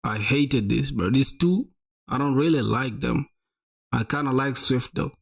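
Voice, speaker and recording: A man's voice: medium pitch, measured pace, creaky, husky. A young man live-streaming, reviewing viewers' websites in a casual, slangy way, full of "bro" and "my boy".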